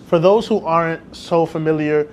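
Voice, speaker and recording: A young man with a fairly high voice co-hosts a student interview. He asks his questions conversationally. Clear rumbling noise sits in the background. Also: moderate pace. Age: young adult. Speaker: student co-host.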